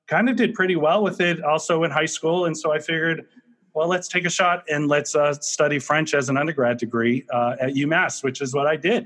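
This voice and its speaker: A man's voice: medium pitch, a bit bright. A man in his fifties from New England, a company CEO telling his background story in an upbeat, rambling way on a podcast. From Massachusetts, New England. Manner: rambling, many fillers and restarts, upbeat. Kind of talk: casual interview storytelling.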